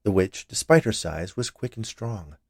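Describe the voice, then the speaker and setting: Male story narrator: lower register, animated and expressive, measured reading, dry sound. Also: gravelly, no room sound. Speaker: story reader.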